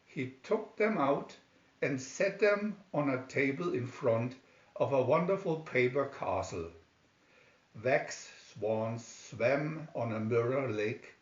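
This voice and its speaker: Slow, echoey man reading a fairy tale. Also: mid-range voice, lively intonation. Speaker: storybook reader. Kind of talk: scripted fairy tale narration. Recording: echoey room, dull lo-fi sound.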